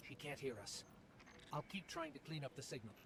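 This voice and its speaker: Earnest little robot companion, noisy ambience. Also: male voice, fairly high pitch, huge pitch swings, brisk. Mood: urgent, focused. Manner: earnest, thoughtful, reassuring. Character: small floating robotic companion Ghost, earnest and loyal. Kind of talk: video game dialogue acting.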